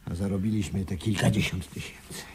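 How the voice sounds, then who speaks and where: An actor playing a bitter, irritable businessman in a radio play, with a husky, dark voice. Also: low voice, steady tone, a little fast, a little hiss or hum. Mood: bitter, angry. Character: bitter, irritable male businessman cheated of millions. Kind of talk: 1980s Polish radio play acting.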